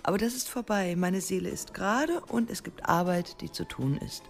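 An actress playing a vain, deranged artist killer in a radio crime drama, cool and eerily assured. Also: female voice, lowish voice, moderate pace, gravelly, soft background music. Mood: calm, menacing.

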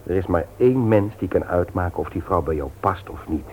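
An actor in a radio play, playing an earnest family man who speaks frankly and in a measured way. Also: sits low, expressive, darkish tone. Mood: earnest, admonishing. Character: Willem, earnest frank friend, husband and doting father. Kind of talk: Dutch radio play acting. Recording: tinny, noisy old radio play recording.

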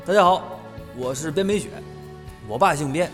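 A man's voice: fairly high pitch, normal speed, faint music behind, natural voice. A young man playing a chatty idol group member with a flippant, casual way of talking. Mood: cheerful.

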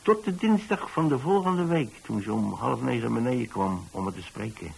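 A kindly older man, a retired landlord in a radio drama. His voice sits at a medium pitch and has some huskiness. He tells his tale in a folksy, halting, confiding manner. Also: animated, gravelly, a little mellow, husky, heavily acted, rough recording. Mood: chatty, fond. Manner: hesitant, folksy, chatty, with small asides. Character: kindly retired landlord telling about his young lodger. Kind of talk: conversational radio drama monologue in Dutch.

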